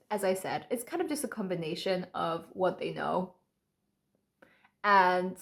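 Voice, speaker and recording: A young woman from Poland vlogs to camera in a relaxed, storytelling way. Her English has a mixed, American-leaning accent, her voice sits a little high, and she laughs often as she acts out what people say. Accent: light mixed American-leaning English accent. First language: Polish. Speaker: vlogger.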